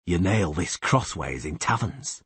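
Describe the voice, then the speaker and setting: A man voicing a calm, terse male monster hunter, low and quiet. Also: normal speed, breathy edge, no echo.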